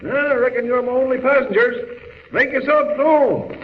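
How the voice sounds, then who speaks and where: A cheery, folksy motorman in a vintage radio play. His voice is very high and the recording is muffled. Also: moderate pace, long reverb tail, a little hiss or hum. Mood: friendly. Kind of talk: 1930s-40s radio drama acting.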